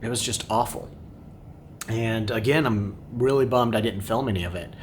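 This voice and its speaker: Male family vlogger, unscripted and regretful, fairly deep voice, some rumble and noise behind him. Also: moderate pace. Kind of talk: unscripted recap to camera. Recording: clear background noise, low rumble.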